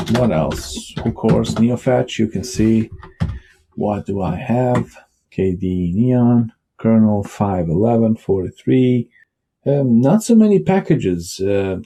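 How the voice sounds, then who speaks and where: Man, Bosnian native speaker, low mellow voice, unhurried rambling vlog delivery with fillers. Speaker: vlogger. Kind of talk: casual screen-recorded desktop talk.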